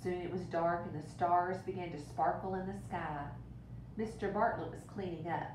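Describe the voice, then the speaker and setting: A woman with a fairly low voice reads to young children like a teacher at story time. She is expressive and deliberate. The room echoes around her, and a clear rumble sits behind the voice. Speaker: teacher reading aloud to children. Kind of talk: storybook read-aloud with brief asides. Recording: roomy, distant mic with rumbling noise.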